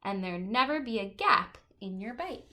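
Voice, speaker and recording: A woman's voice: medium pitch, animated, moderate pace, sharp tone. A woman teacher reading a children's nonfiction picture book aloud, expressively stressing key words and adding short explanatory asides.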